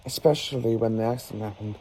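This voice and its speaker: Man playing a mellow, analytical professor. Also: on the low side, steady tone, slightly rough, low-quality recording. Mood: thoughtful, grave. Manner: measured, deductive, musing aloud. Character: calm, analytical male professor and protector battling the supernatural. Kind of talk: audio drama acting.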